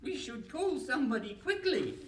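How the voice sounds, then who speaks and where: Shrill, dotty old wife. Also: female voice, very animated, normal speed. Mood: curious, fussy. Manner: fluttery, exclaiming, genteel. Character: fluttery, dotty old wife, fond and scatty. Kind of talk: comic live radio play acting. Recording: noisy, echoey hall, distant microphone.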